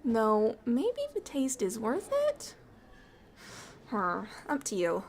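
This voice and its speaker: Casting: woman as friendly alien diplomat for ASMR roleplay, somewhat high voice, bubbly, talkative, fond and eager to please. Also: takes it slowly, slight background noise, exaggerated voice. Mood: chatty, friendly. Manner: bubbly, chatty, apologetic, excitable. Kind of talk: ASMR roleplay, chatty and intimate.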